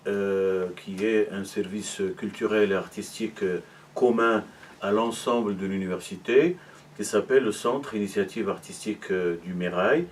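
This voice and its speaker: A middle-aged man from Morocco speaks in a lowish voice, explaining things patiently and often pausing to hesitate. He sounds a little distant from the microphone, and a faint ambient hiss runs underneath him. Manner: measured, explanatory, many hesitations. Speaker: university arts and culture officer. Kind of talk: interview answers.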